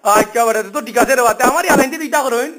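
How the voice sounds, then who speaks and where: Very high-pitched, fast-talking Sylheti man, pleading. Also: fairly bright tone. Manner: pleading, complaining, repetitive. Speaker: phone caller. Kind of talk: informal phone conversation. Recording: phone call recording.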